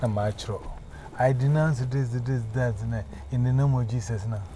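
A man's voice: mid-range voice, normal speed, mellow. A man with a Ghanaian accent, preaching and giving testimony, emphatic and often repeating words in chanting runs. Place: outdoors on a busy street. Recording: noisy street recording.

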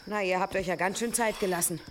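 An actress in a German radio drama playing a practical medieval metalworker with a brisk, dry, matter-of-fact way of talking. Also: female voice, medium pitch, fairly even intonation, background noise, lightly acted. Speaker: actor in an audio drama.

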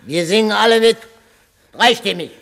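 An actor playing a pompous, blustering drunkard who would be king, husky and stammering, in a classic radio play. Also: high voice, normal speed, a bit bright. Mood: boastful.

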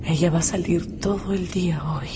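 A woman playing a secretive, subdued young woman in a radio drama, halting and often sinking to a whisper. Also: fairly low pitch, lightly acted. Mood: secretive, intimate. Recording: loud background noise and ambience.

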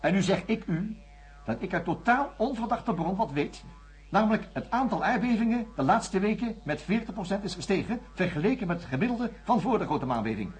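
A stern, irascible male doctor in a science fiction radio drama. His voice is high for a man and very husky, swinging widely in pitch. He speaks curtly and formally, with biting sarcasm. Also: animated, a little fast, faint music behind, heavily acted. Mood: angry, accusing. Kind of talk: Dutch science fiction radio play acting.

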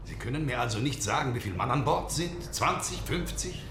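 An actor playing a blunt, restless, sceptical harpooner, talking in a colloquial, sardonic way. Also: male voice, mid-range voice, animated, brisk, noisy background, close to an everyday voice. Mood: impatient. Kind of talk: German radio play acting. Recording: roomy, music behind.

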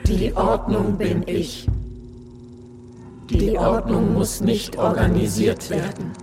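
An actor playing a vast, self-important machine that hoards archives, speaking slowly and gravely in a radio play. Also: male voice, high-pitched, exaggerated voice. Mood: ominous. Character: vast brooding machine archive, male, menacing. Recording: music loud behind.

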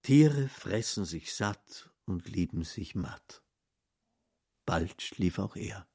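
A male actor playing a hushed, brooding voice in a literary radio drama. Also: low voice, expressive, slow, breathy edge, no room sound, studio quality, full character voice.

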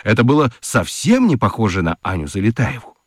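A man narrating a children's radio story expressively, with theatrical emphasis and dramatic pauses. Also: on the low side, very animated, no echo, studio quality. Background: music.

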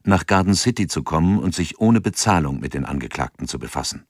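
An actor narrating a scripted radio drama in a sober, factual reporting manner. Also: male voice, rumbling low, expressive, fairly quick, over loud music.

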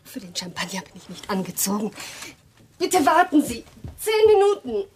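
An actress playing a sociable, flirtatious woman musician in a German radio drama, talking softly and intimately. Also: fairly high pitch, sing-song, moderate pace, slight background noise. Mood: relaxed, intimate.

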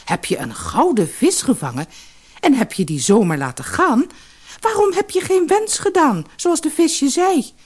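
A woman's voice: high-pitched, very animated, a little slow, pitched above the performer's own voice. A woman voicing a grumpy, demanding old fisherman's wife in a storybook, scolding and nagging. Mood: indignant. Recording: noisy old cassette audiobook.

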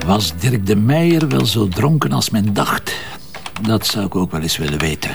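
A man's voice: on the low side, big pitch swings, moderate pace, creaky, over music. A man with a Flemish accent playing a stubborn, wry police commissioner in a detective audio drama, speaking informally and musingly. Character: dogged male police commissioner, stubborn, hunch-driven, wry.

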